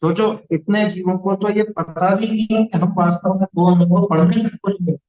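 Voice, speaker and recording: A man's voice: high-pitched, fairly even intonation, a little fast, dark tone, dull audio. A man teaching a religious studies lesson to a class of children, explaining points and asking the class questions.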